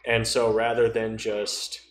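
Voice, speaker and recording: A man's voice: fairly low pitch, monotone, takes it slowly. A man in casual conversation about tabletop role-playing, speaking as a gamer on a podcast. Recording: roomy echo, faint background noise.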